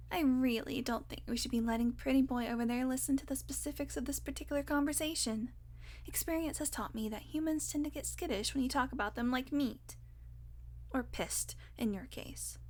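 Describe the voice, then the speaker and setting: A woman's voice: high-pitched, darkish tone, close to an everyday voice. A woman playing a hungry, irritable female vampire in an audio roleplay, sardonic and foul-mouthed, with teasing pet names. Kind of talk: audio roleplay acting, one-sided dialogue.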